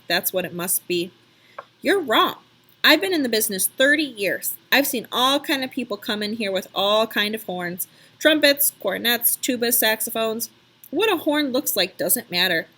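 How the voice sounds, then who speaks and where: A woman's voice: a little high, bright, forceful tone. A woman voicing a seasoned, kindly pawn shop man from a storybook, with an emphatic, folksy, persuasive way of talking. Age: middle-aged or older.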